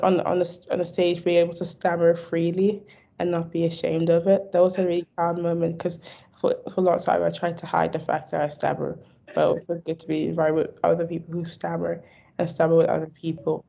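A woman's voice: fairly low pitch, creaky, mellow. A young woman who stammers, repeating words and restarting, answering questions in a video call interview. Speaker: stammering awareness advocate. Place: indoor room. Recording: dull lo-fi video call audio.

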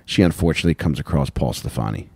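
Deep-voiced man telling a true-crime story. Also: animated, quick pace, gravelly, a little mellow, breathy edge, no room sound, studio quality. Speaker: podcast host. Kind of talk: casual true-crime storytelling on a comedy podcast. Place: small wood-panelled podcast room with couches, indoors. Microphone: handheld microphone held close.

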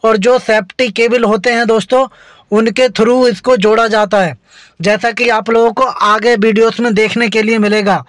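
A man's voice: high voice, fairly even intonation, moderate pace, fairly bright tone, dry recording. A man from India narrating a road construction tour, chatty and repetitive, talking to his viewers as friends while riding along a busy road. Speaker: vlogger.

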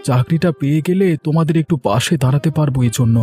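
A man's voice: on the high side, quick pace, mellow, faint music behind, close to an everyday voice. A man voicing an earnest, dutiful young brother in a cartoon moral story, with a familiar way of talking. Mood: eager, apologetic.

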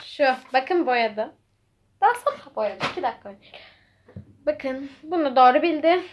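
High-pitched, childlike casual game host. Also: lively intonation, measured pace, a little hiss or hum. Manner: instructing and prompting a co-player. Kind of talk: casual playful chat to viewers.

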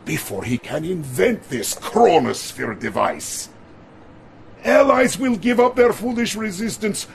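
An actor playing a jovial, pompous middle-aged Soviet premier with a Russian accent in a video game cutscene. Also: male voice, on the high side, creaky, fairly bright tone, slight background noise, heavily acted. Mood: commanding. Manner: pompous, theatrical, slow deliberate delivery.

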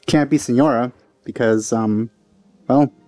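A man giving casual, low-key gameplay commentary as he plays. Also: a little slow, a little mellow, no echo. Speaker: let's player. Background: game music.

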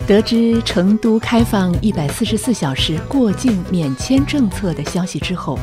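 A woman delivers a scripted feature voice-over with a polished, measured delivery. Her pitch moves in a lively way, and music plays clearly behind her. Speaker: narrator. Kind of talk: scripted promotional news-feature narration. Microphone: studio voice-over.

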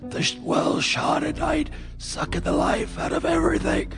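An actor playing a dying farmer, raving in a broken, stammering, gravelly voice. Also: male voice, very high-pitched, completely flat delivery, moderate pace, heavily acted. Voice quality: gravelly, rasping. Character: dying farmer crumbling from alien blight, raving. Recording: radio drama with music underneath.

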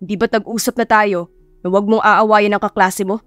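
A woman's voice: mid-range voice, steady tone, normal speed, a bit bright, no room sound, soft background music, close to an everyday voice. A woman voicing a grumpy, teasing adult male boss with a Filipino accent in an audio love story. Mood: exasperated, teasing.

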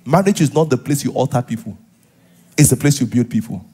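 A middle-aged man with a Nigerian accent and a husky voice, preaching as a pastor to a live congregation in an animated, conversational way, full of interjections and rhetorical questions. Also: medium pitch, fairly quick. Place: large church auditorium, on stage. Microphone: headset.

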